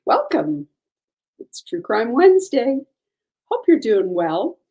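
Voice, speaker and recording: A woman hosting a true crime show, hoarse and gravelly from a lingering cold, going over a case with frequent ums and uhs. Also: on the high side, big pitch swings, slow pace, warm tone. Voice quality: gravelly, froggy voice. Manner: careful, with many ums and uhs. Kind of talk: casual case update.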